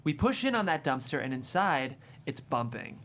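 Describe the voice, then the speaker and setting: A man's voice: fairly high pitch, very animated, normal speed, a bit bright, a little hiss or hum. A man hosting a table read show, chatting and narrating script directions in a studio.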